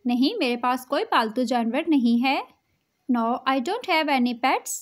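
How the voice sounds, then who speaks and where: A woman teaches English phrases, saying each one at a very slow, careful pace. Her voice sits high. She gives the lines quickly between the slow English repeats. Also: expressive. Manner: English spoken very slowly, Urdu lines quick. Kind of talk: slow English practice drill with Urdu translations.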